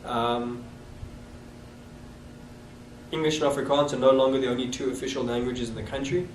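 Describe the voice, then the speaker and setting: A man in his thirties with a South African accent, recalling his past with halting restarts in an oral history interview. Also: mid-range voice, fairly even intonation. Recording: echoey room, distant mic, noisy.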